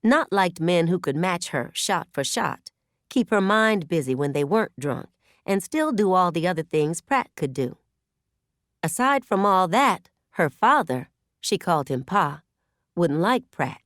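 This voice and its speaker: A woman narrates literary fiction in an expressive storytelling style. Her voice sits slightly low and moves freely in pitch. The recording is very dry, like a studio audiobook. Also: measured pace, no room sound. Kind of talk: scripted novel narration.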